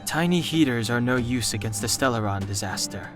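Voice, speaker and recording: A reserved young male traveler played by an actor in a video game, mid-pitched and matter-of-fact. He speaks tersely and dryly, with guitar music clearly behind him. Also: animated, brisk, lightly acted.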